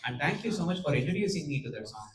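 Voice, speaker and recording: In a reverberant, slightly noisy room, a man with a fairly high voice gives audition feedback. He is encouraging and a little halting, dropping phrases of a second language into his praise. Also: very animated, fairly bright tone. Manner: encouraging, halting with fillers, mixes Tamil and English. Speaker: singing audition judge. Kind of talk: encouraging spoken feedback to a contestant. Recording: echoey room with background noise.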